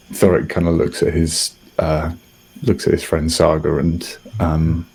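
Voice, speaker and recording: A British man improvising a story as a player in a tabletop roleplaying show, hesitant and halting. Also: low voice, dark tone, breathy edge, low-quality recording.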